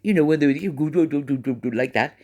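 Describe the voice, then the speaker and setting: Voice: mid-range voice, brisk, darkish tone. A vlogger with a Welsh accent giving rambling, gossipy commentary to camera, chatty and stop-start, often repeating words while thinking aloud. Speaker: channel host.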